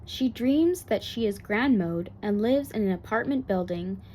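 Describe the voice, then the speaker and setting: A woman's voice: mid-range voice, lively intonation, unhurried, warm tone, rough recording. Female reader for children's bedtime storybook narration, mid-range voice, some background noise.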